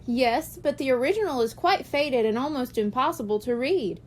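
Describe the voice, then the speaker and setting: Woman reading as playful, high-voiced schoolteacher man. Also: animated, normal speed, a little mellow. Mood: patient, explaining. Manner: explanatory, teasing. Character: cheerful male first-grade teacher, playful, patiently explaining history. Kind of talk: children's audiobook character reading.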